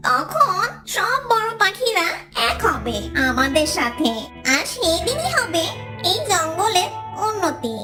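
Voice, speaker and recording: Very high, earnest little bird. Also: female voice, heavily acted. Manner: earnest, pleading. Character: tiny timid tailorbird, earnest and indignant. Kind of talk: cartoon character voice acting. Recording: loud music under the voice.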